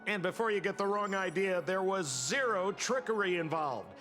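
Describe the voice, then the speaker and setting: A man's voice: high-pitched, animated, moderate pace, fairly bright tone. A man voicing a sly, smug, theatrical con man who runs a hotel in a video game, drawling his words. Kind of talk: acted video game dialogue. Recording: reverberant, with music behind.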